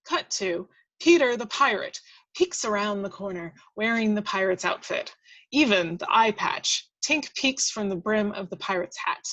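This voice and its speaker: A woman's voice: medium pitch, animated, normal speed, a bit bright, highs cut off. A woman reading scripted action descriptions aloud at a table read, now and then stumbling and correcting herself.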